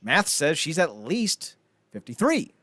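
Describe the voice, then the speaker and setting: A man with a fairly high voice chats casually as a podcast host. His pitch swings widely as he riffs and swears. The sound is very dry. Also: takes it slowly, no echo. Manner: animated riffing, swears casually. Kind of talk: casual comedic podcast chat.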